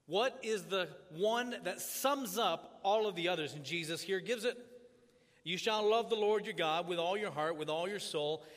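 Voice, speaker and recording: A man from Tanzania preaches with a bright, forceful voice that sits a little high for a man. His delivery is earnest and measured, in the steady cadence of a sermon. The sound carries a long church reverb with faint music beneath it. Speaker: pastor. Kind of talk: sermon with opening prayer. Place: church sanctuary.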